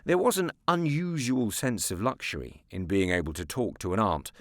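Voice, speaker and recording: A man narrates a story with huge swings in pitch, from hushed lows to excited highs. His delivery is polished and theatrical, and the recording is dry and close. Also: normal speed, no echo. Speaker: narrator, former broadcast presenter. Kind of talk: expressive scripted storybook narration.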